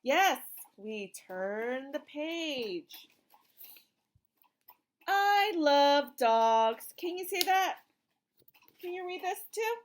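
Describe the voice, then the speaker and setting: High-pitched, lively woman reading to children. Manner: simple, encouraging prompts with questions and slow, emphatic reading. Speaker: teacher reading a picture book to young children. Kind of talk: interactive children's read-aloud.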